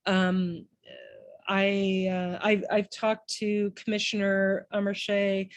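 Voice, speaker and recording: A woman giving public comment at a town meeting, earnest and halting, with many pauses and restarts. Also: medium pitch, monotone, dry recording.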